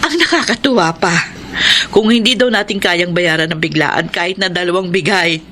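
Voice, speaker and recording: An actress playing a hardworking single mother and market vendor in a radio drama, earnest and expressive. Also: lowish voice, moderate pace, a little hiss or hum, close to an everyday voice. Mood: pleased.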